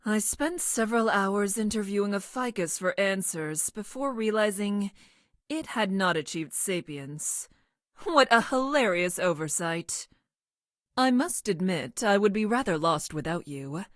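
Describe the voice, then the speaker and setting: A woman playing a logical young woman scientist from the future in a video game, speaking in formal, clinical, precise deadpan. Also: mid-range voice, unhurried, no echo, clean studio sound, lightly acted. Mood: clinical, curious. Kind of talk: video game character voice lines.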